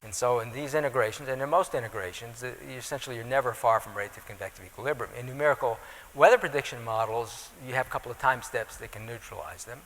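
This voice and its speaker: A man, an atmospheric physicist, answering audience questions in measured technical explanations, often checking in with "right?". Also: medium pitch, fairly bright tone, in a large hall, poor audio. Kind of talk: technical lecture Q&A. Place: lecture hall.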